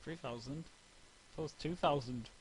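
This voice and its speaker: A man's voice: fairly high pitch, normal speed, poor audio. A man co-reading a fan fiction, chipping in with casual banter. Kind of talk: casual banter between read lines.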